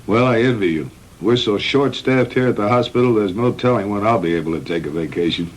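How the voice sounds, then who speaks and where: A man playing a calm, frank middle-aged hospital doctor in a measured, matter-of-fact 1960s B-movie style. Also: lowish voice, lightly acted. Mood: grave, recounting. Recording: noisy old 1960s film soundtrack.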